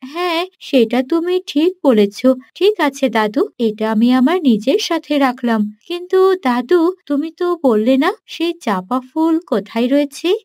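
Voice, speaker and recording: A woman's voice: high-pitched, expressive, measured pace, a little mellow, dry recording, studio quality. A woman voicing a sweet, inquisitive young princess in a fairy-tale cartoon, eager and determined.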